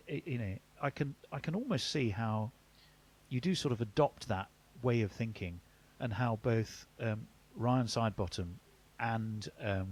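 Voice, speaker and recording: Low voiced guy hosting a cricket show, stumbles and restarts a lot. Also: breathy edge. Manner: hesitant, stumbling over restarts. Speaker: radio cricket presenter. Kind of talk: conversational interview.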